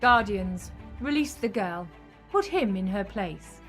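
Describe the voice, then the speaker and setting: A woman's voice: mid-range voice, animated, slow pace, music in the background. A woman playing a scheming, desperate female villain with an imperious manner in an audio drama. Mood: commanding. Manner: imperious, commanding. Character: scheming, desperate female villain, rival time traveller in failing old body.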